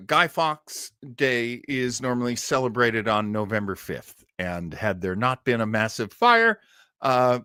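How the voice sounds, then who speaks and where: An American man chats as a live stream host in a dry, close-sounding space. His pitch is mid-range but swings widely for theatrical effect. He speaks haltingly and casually, with a self-deprecating manner. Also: a bit bright, dry recording. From United States. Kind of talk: casual live chat introducing an old radio show.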